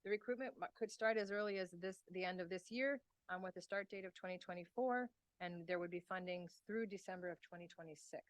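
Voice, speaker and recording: A woman's voice: fairly low pitch, quick pace, no echo. Woman explaining a grant to a committee. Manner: conversational, explanatory. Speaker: extension staff presenter. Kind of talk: committee meeting presentation.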